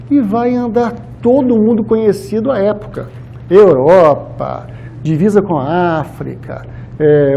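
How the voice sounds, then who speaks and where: A man with a Minas Gerais accent from Brazil delivers an informal religious lecture. His voice sits high for a man yet has a very mellow, muted tone. He talks colloquially, often tagging phrases with small questions, over noticeable background noise. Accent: Brazilian Portuguese, Minas Gerais. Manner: conversational, colloquial, with frequent tag questions. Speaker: speaker at a spiritist society.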